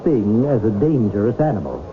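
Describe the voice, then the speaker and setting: Pompous gushing lecturer, swooping pitch. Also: male voice, big pitch swings, brisk. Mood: indignant, pompous. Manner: pompous, fussy, theatrically gushing. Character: pompous, effusive animal-loving celebrity lecturer. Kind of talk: 1970s radio drama acting. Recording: noisy, tinny old radio broadcast.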